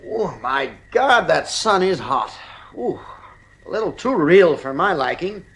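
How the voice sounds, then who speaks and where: A man's voice: fairly high pitch, big pitch swings, a little slow, gravelly, fairly bright tone, breathy edge, exaggerated voice, rough recording. An actor playing a rational, dismissive husband and father in a 1950s radio drama. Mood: intrigued. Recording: vintage radio recording, faint hiss.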